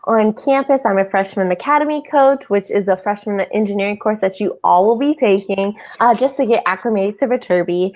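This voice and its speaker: A woman's voice: medium pitch, a little mellow. A woman in her twenties from California introducing herself cheerfully as a student ambassador. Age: 20s. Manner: cheerful, quick to laugh. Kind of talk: casual self-introduction.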